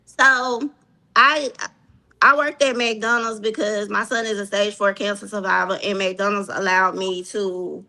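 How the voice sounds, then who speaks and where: A woman's voice: medium pitch, fairly even intonation, measured pace, bright, forceful tone, poor audio. A woman with a Southern US accent chatting casually and telling personal stories, blunt and emphatic, repeating her points. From Mississippi, USA. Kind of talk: casual chat, personal storytelling.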